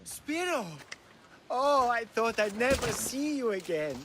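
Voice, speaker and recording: A man's voice: very high-pitched, expressive, slow, noisy background, heavily acted. An actor playing an anxious, sentimental male oil merchant in a video game, with a pleading, effusive delivery. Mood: adoring. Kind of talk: dramatic video game dialogue.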